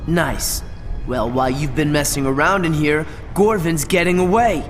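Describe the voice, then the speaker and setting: A man's voice: on the high side, normal speed, big-room sound, close to an everyday voice. A man voicing a cocky, sarcastic tough teen. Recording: loud game music behind.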